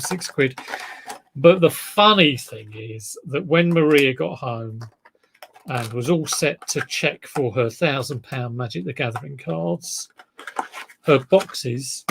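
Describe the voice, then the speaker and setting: A man's voice: mid-range voice, gravelly, slight background noise. A man with a South East London drawl, chatting casually on a live stream, rambling about his sales and reading out viewer comments. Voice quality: relaxed drawl. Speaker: eBay reseller livestream host.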